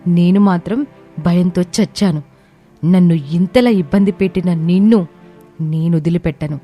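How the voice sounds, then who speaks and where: Woman voicing a scheming villainess, jealous, menacing, emphatic, mellow, family story drama, faint music. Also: lowish voice, lightly acted.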